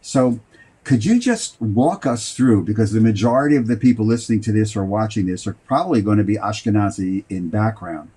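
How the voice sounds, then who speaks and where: A man hosting an interview in a conversational, somewhat halting and roundabout way. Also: fairly low pitch, animated, dark tone, breathy edge. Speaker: talk show host, interviewer.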